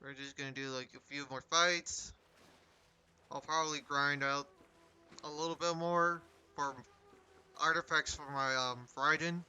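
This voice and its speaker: A man's voice: a little high, steady tone, unhurried, long pauses, sharp tone, clear, resonant tone. A man giving casual live commentary as he plays and streams a video game.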